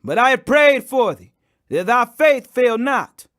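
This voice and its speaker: Man plays grave male religious teacher: high voice, commanding, solemn, declamatory audiobook delivery. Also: very animated, unhurried, a bit bright, exaggerated voice. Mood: grave, urgent.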